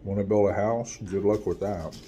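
A man in his forties doing a casual food review at home, chatty and relaxed, filmed on a phone at arm's length while eating. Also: on the low side, moderate pace, slight background noise. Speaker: food vlogger. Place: small room at home, window blinds. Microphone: phone camera at arm's length.

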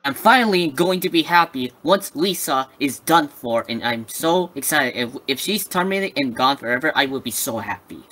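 A man's voice: mid-range voice, animated, normal speed, few pauses, sharp tone, rough recording. A young man chatting eagerly on a group voice call, full of fillers and restarts. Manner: eager, talks over others, hesitant fillers. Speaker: Discord call participant. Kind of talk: casual group chat on a voice call.

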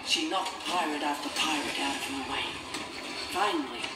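A man's voice: a little high, normal speed. A man reading a scripted children's storybook as its narrator. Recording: echoey, distant, music behind.

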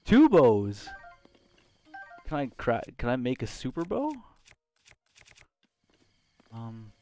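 A man's voice: medium pitch, huge pitch swings, slow, darkish tone. A man giving casual live video game commentary, thinking aloud in fragmented, murmured reactions. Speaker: let's play gamer. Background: video game music.

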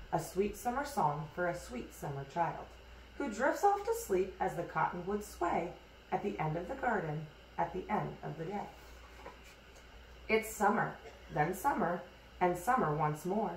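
A woman reading a rhyming children's picture book aloud in an expressive, measured storytelling way. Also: on the low side. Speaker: storybook reader. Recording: echoey room, distant mic, hissy noise.

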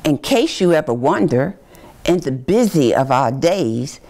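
An older woman reads a children's picture book aloud in a deliberate, expressive storytime manner. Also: deep voice. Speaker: city commissioner reading to children. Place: small indoor room with bookshelves.

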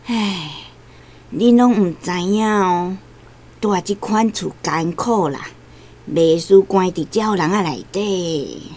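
A woman's voice: lowish voice, slow pace, a little mellow. A woman playing an elderly, chatty, trusting Taiwanese grandmother in a radio drama, with a plaintive, folksy way of talking.

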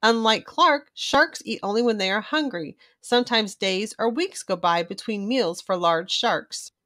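A woman hosting a read-aloud for young children, reading in an expressive storytelling style. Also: mid-range voice, unhurried, dry recording, clean studio sound. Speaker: children's book reader and host.

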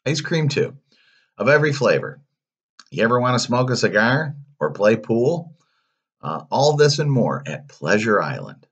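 A man's voice: medium pitch, sing-song, moderate pace, husky, muffled recording, pitched above the performer's own voice. A man voicing a slick, pushy coachman in a storybook, tempting children with coaxing salesman-like patter. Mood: coaxing, enticing. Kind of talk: storybook villain voice.

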